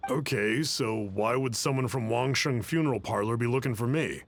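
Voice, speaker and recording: A man's voice: mid-range voice, moderate pace, close to an everyday voice. An actor playing an ordinary townsman in a video game who grows defensive and curt with a stranger. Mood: wary.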